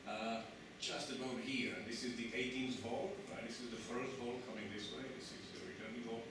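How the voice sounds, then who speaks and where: A man's voice: mid-range voice, steady tone. Man lecturing in an echoey hall. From Czech Republic. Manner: halting, many restarts and fillers, asks the audience questions. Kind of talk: conference lecture. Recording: echoey hall, distant mic, noisy.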